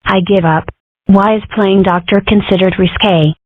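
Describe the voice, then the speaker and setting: A woman voicing a mischievous young fox girl with cheeky, deadpan jokes in an amateur comic dub. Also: mid-range voice, normal speed, no echo, natural voice. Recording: dry, slightly lo-fi home recording.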